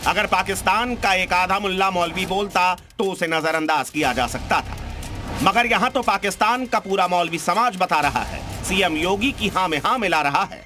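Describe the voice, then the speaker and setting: A man's voice: high-pitched, bright, forceful tone. A male news anchor reading a pointed, rhetorical scripted voice-over.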